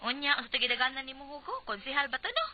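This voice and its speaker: A woman's voice: sits high, very animated, fast talker, dull audio, slight background noise. A woman playing a nagging, skeptical wife in a Cebuano radio drama, exasperated and questioning, with a Cebuano Filipino accent. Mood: skeptical, teasing. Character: nagging, skeptical wife of a local political candidate.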